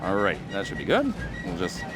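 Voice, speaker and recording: Lively man giving drill orders. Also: low voice, brisk, loud music behind the voice. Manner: good-humoured, drill-style commands. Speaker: historical reenactment captain. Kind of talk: instructing a recruit in character. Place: outdoors at a historic site in a city.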